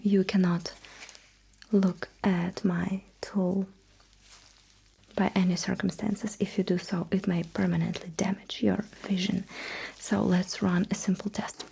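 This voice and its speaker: A calm alien technician, acted by a woman, speaks in a very breathy whisper. She is attentive and very slow, guiding the listener step by step in a sci-fi ASMR roleplay. The tone is soft and mellow. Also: medium pitch, exaggerated voice, rough recording. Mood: cautioning, soft. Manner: slow, deliberate, instructing.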